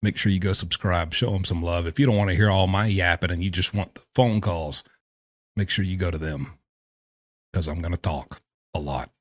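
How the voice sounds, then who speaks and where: A man's voice: sits low, moderate pace, dry recording, studio quality. A man giving casual, sarcastic reaction commentary as a host, mocking and theatrical in his emphasis. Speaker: host commenting on prison phone calls.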